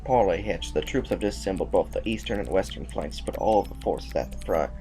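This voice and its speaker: An actor playing a dutiful, awkward military aide in an audio drama, speaking formally and a little hesitantly. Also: male voice, low voice, brisk, warm tone, soft background music. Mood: dry, glum.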